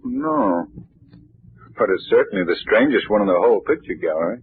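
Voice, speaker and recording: An actor playing an urbane, knowledgeable young gentleman, cultured and reflective, in 1940s radio drama. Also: fairly low pitch, wildly swooping pitch, fairly quick, creaky, slightly rough, full character voice. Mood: intrigued. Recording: muffled, tinny 1940s radio recording.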